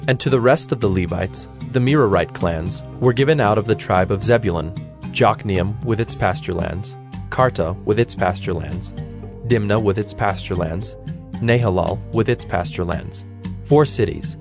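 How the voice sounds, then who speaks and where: A man giving a measured, formal narration of scripture as the narrator of a dramatized audio production. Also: lowish voice, vocal fry. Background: instrumental music with plucked strings.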